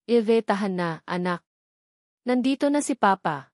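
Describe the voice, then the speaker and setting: A woman's voice: medium pitch, animated, a little slow, dry recording, clean studio sound, natural voice. Audio drama: tender, worried father, voiced by a woman, urgent and reassuring, closely recorded. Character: anxious, tender young father searching for his son.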